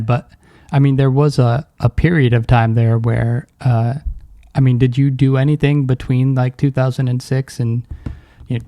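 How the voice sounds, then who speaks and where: A man's voice: medium pitch, mellow, no echo, clean studio sound. A man hosting a podcast, asking casual interview questions in a tentative, meandering way.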